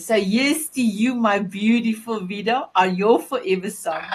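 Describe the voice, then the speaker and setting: A woman with a South African Afrikaans accent hosting a talk show in a gushing, affectionate, halting way. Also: mid-range voice, slightly rough. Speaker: show host.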